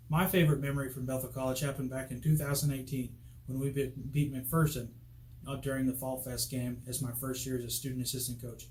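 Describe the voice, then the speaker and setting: A man's voice: medium pitch, a little mellow. A young man, a graduating student, introducing himself in an easygoing, upbeat way. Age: young adult. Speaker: graduating student, assistant coach. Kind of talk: recorded self-introduction. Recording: echoey room.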